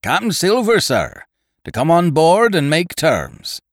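A man's voice: fairly high pitch, huge pitch swings, measured pace, no room sound, clean studio sound, pitched above the performer's own voice, exaggerated voice. A man voicing a mutinous pirate underling in an audiobook adventure, calling out formally as a messenger. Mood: formal, shouting. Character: shouting mutineer pirate, flag-bearing underling.

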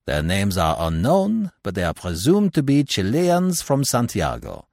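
A man's voice: fairly low pitch, wildly swooping pitch, a little slow, no echo, exaggerated voice. Older Belgian detective played by a male reader, Belgian French accent, ironic and avuncular, very swooping intonation, close dry storybook sound. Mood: sly, charming. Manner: gentle, ironic, emphatic, avuncular. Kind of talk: audiobook character voice.

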